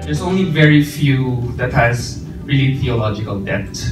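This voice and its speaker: A Filipino man asks a panel a question, halting and searching for his words. His voice is mid-pitched and carries through an echoey hall mic from a distance. Music plays softly behind him. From Philippines. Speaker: conference attendee asking a question.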